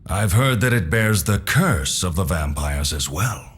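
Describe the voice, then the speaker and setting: A man playing a calm, cryptic older mentor in a video game cutscene, explaining in a measured way. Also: deep voice, a little hiss or hum. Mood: solemn, explaining. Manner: measured, expository.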